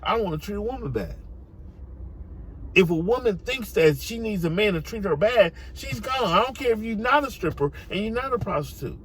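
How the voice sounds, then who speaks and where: Male American online commentator, animated and exhorting, casual slangy monologue with faint noise behind the voice. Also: high-pitched, fairly quick. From United States. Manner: emphatic, exhorting, repeats phrases for effect. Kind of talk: opinionated informal monologue.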